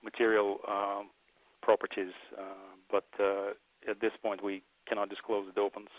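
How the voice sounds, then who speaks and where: A man speaking as a research engineer, answering webinar questions in a hesitant, halting, measured way over a slightly muffled online call. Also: on the low side, completely flat delivery, no room sound.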